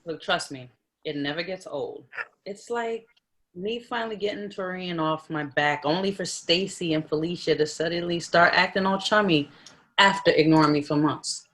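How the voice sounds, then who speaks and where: Woman acting exasperated lawyer friend, lowish voice, blunt, guarded, matter-of-fact, table read. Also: normal speed, fairly bright tone, lightly acted. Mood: wary, annoyed. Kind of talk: comedy script table read.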